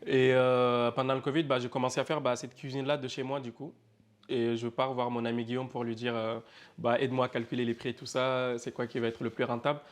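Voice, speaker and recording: A man's voice: mid-range voice, little pitch movement, brisk. A man in his twenties from Senegal who runs a food business, talking casually and chattily in a documentary interview with plenty of fillers. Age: 20s. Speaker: interviewee, cook and business owner.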